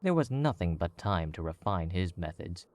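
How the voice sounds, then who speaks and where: A man narrating a story in a measured, even storytelling manner. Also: low-pitched, no echo. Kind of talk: scripted audiobook narration.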